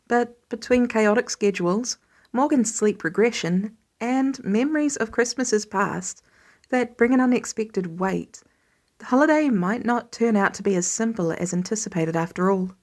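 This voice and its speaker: A woman reading fan fiction aloud as an expressive audiobook-style narrator. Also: on the high side. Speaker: podfic reader.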